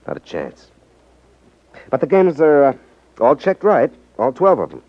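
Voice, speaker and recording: An actor playing a sly, cool, confident trickster who feigns innocence in a 1950s radio drama. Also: male voice, on the high side, sing-song, measured pace, dry recording. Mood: sly, self-assured. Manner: coy, unruffled, feigns innocence. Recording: muffled 1950s radio recording.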